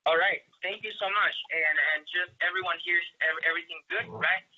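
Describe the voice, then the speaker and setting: Man from guatemala, webinar speaker, earnest and thankful, repeats words, higher voice, muffled audio. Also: steady tone, fairly quick, slightly rough. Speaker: agency partner presenting in a webinar. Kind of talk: corporate webinar presentation.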